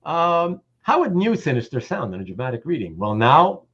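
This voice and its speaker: A man's voice: on the high side, big pitch swings, normal speed, fairly bright tone, husky, lo-fi sound. A man hosting a casual livestream about comic art, reading out chat comments and rambling with repeated words and self-interruptions.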